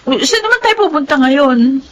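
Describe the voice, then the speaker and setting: A woman's voice: high voice, lively intonation, normal speed, a little hiss or hum. An actress playing a sweet, coy teenage schoolgirl in a Filipino radio drama.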